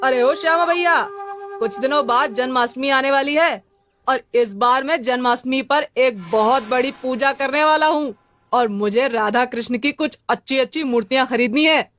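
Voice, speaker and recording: A woman's voice: sits high, moderate pace, a bit bright, a little hiss or hum. A woman voicing a friendly village milkman in a cartoon story, with a hearty, familiar manner. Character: friendly village milkman planning a festival puja.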